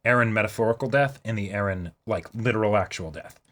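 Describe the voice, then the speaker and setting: Sharp, bright-voiced man chatting casually. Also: on the low side, quick pace. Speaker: co-host of an anime reaction show. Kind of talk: casual reaction chat.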